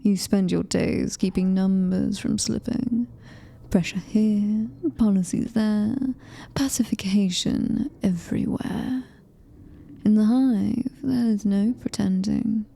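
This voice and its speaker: A woman with a British accent voicing a possessive, menacing female alien-human hybrid in ASMR horror roleplay, breathy, deliberate and commanding, often whispering. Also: mid-range voice, steady tone, dark tone. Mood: predatory, gloating.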